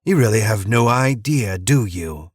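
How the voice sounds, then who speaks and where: A man's voice: lowish voice, animated, measured pace, breathy edge, dry recording, clean studio sound. A man voicing an unhinged male cult preacher who swings between gleeful mockery and menace. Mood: sly. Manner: manic, cackling, mocking sing-song.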